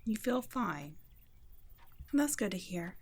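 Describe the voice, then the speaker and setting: A woman playing a gentle, protective vampire caretaker in an intimate ASMR roleplay, speaking softly and breathily, often nearly whispering. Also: medium pitch, very animated, takes it slowly, full character voice. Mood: tender, concerned. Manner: soft, breathy, tender, often dropping to a whisper.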